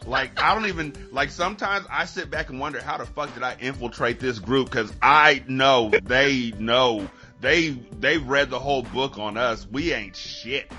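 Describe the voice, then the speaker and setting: Bright-voiced man chatting on a podcast. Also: mid-range voice, measured pace, few pauses, soft background music. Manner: profane, joking, storytelling. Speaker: podcast host. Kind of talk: animated casual podcast chat.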